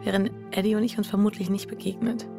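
Radio drama lead: guilt-ridden married woman, played by an actress, mid-range, hushed, inward, breathy delivery. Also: medium pitch, flat delivery, fast, over music, natural voice. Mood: reflective. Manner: introspective, breathy, often near whisper. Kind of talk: German radio drama inner monologue.

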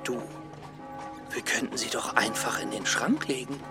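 An actor playing a timid, ailing, fussy scholar in a children's radio play, hesitant and plaintive. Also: male voice, mid-range voice, moderate pace, over music, close to an everyday voice. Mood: reluctant, timid.

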